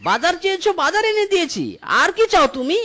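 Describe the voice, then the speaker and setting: A performer voicing a whiny, blustering village husband in an animated folk tale, with a theatrical, complaining delivery. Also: very high-pitched, fast talker, slight background noise, exaggerated voice. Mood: grumbling, evasive. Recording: clear background music.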